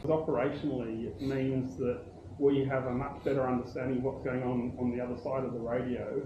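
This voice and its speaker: A man speaking as a pilot, giving considered feedback in measured, formal wording. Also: medium pitch, level tone. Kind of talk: considered feedback in a group discussion. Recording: dull, echoey, noisy room.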